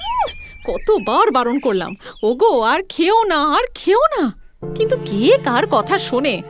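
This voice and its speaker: Shrill, very high cartoon wife. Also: female voice, huge pitch swings, unhurried, full character voice. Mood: exasperated. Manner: dramatic, complaining. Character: exasperated, scolding young wife of a glutton. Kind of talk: comic cartoon acting. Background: music.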